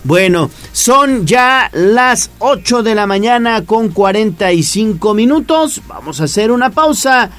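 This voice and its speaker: A man's voice: high voice, expressive, measured pace, hardly any pauses, fairly bright tone. A man hosting a morning radio news show, announcing the time and introducing guests in a friendly way.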